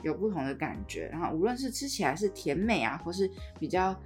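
A woman's voice: fairly low pitch, sing-song, a little fast, faint music behind. A woman with a Taiwanese accent hosting a podcast in a conversational style. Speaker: podcast host.

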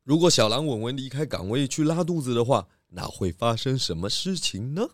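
A man voicing a calm, methodical pig detective who patiently leads with questions in a children's audio drama. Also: medium pitch, dry recording, clean studio sound, close to an everyday voice. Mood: methodical, probing. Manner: measured, patient, leading with questions.

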